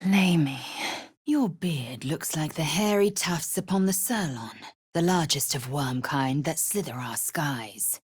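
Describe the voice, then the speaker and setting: A woman playing a fierce, proud alien warrior in a video game, speaking in a haughty, clipped, formal manner with a husky edge. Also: low-pitched, expressive, slow, no room sound, clean studio sound, heavily acted. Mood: stern, scornful. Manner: imperious, clipped, formal phrasing. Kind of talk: video game character acting.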